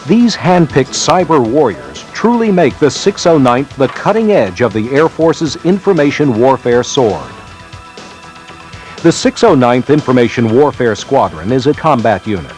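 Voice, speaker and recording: A man with a mid-range voice delivers formal narration for a 1990s military training film. His phrasing is measured and emphatic, in a scripted documentary style. Music plays behind his voice. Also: mid-range voice, very animated, a little mellow. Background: background music.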